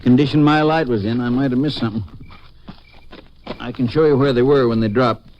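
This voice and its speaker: An actor playing a helpful small-town western sheriff, plainspoken and folksy, in a 1950s radio drama. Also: male voice, medium pitch, steady tone, fairly quick, close to an everyday voice. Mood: matter-of-fact. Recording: muffled, noisy vintage radio recording.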